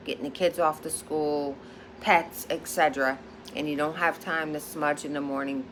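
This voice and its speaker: A low-pitched woman with a Philadelphia accent, speaking emphatically and circling back to her points with a steady "okay?". Her casual monologue sits over a clearly audible background hiss. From Philadelphia, United States. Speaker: high priestess and psychic.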